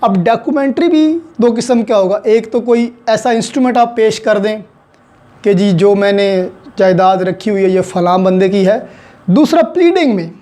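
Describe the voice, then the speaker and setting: A man with a Pakistani accent teaches in a notably high voice with a mellow, rounded tone. He explains methodically, laying out each point and repeating it with examples, switching into English for technical terms. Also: normal speed. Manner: didactic, spells out points with examples and repetition. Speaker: law teacher. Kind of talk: explanatory lecture mixing Urdu with English legal terms.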